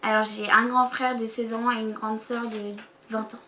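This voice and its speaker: A woman's voice: a little high, level tone, normal speed, pushed and bright. A teenage girl from France co-hosting a casual chat, talking with many fillers. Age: teens. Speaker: co-host. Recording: echoey room with faint noise.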